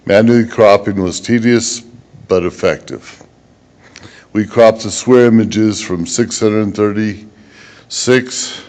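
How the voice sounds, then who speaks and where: A man giving a technical conference presentation as a researcher, in a measured, halting way with occasional stumbles. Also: deep voice, darkish tone.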